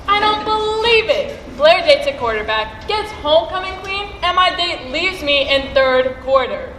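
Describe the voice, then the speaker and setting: A chatty, easily indignant schoolgirl acted by a woman in a school play. She sounds high and sharp on an echoey stage. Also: lively intonation, a little slow. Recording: echoey stage with faint noise.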